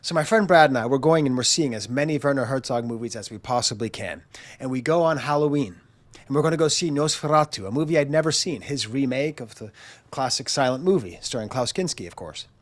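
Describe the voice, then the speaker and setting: A man's voice: medium pitch, expressive, fairly quick. A man in his forties with a nasal, congested voice from a chest cold, chatting through a self-mocking story in a casual monologue and acting out quotes. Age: 40s. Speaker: channel host.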